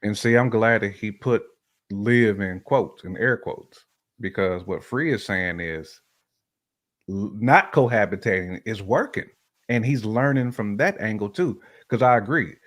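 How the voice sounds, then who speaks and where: An American man chatting casually about relationships as a show host, telling stories in animated, slangy language. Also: fairly low pitch, unhurried. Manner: animated storytelling with slang and hypothetical examples.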